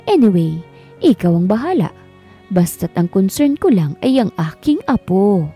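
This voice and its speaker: A woman's voice: medium pitch, big pitch swings, a little slow, dark tone, slightly rough, faint music behind. A woman voicing a wealthy older man who insistently orders his son around. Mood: commanding. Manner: authoritative, insistent.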